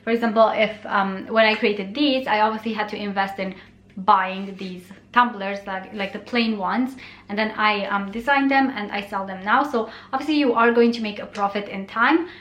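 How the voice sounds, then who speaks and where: A woman's voice: mid-range voice, fairly even intonation, moderate pace, fairly bright tone, roomy sound. A young woman whose first language is Romanian, talking casually to camera as a blogger, chatty and friendly with many fillers. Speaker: blogger and YouTuber. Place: bright bedroom, indoors.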